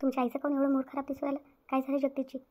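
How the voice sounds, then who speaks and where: A woman's voice: high-pitched, completely flat delivery, rapid-fire, dark tone, natural voice. A woman voicing an anxious, polite young newlywed bride in a cartoon family drama, with deferential questions and a Vidarbha Marathi accent. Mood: worried. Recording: dull lo-fi audio.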